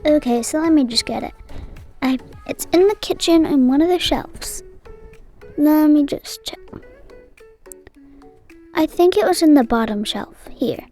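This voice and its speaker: Girl playing a calm, high-voiced young mom. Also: measured pace, long pauses, faint music behind. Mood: matter-of-fact. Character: calm, reassuring young mom of little kids. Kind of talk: hobby game roleplay story.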